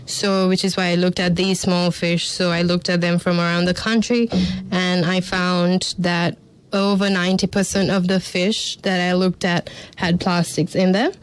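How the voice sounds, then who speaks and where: Woman, guest scientist, even monotone delivery, steady and explanatory. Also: lowish voice, normal speed, clear, resonant tone. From Sri Lanka. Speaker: marine biologist guest. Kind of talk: radio interview answers. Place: radio studio.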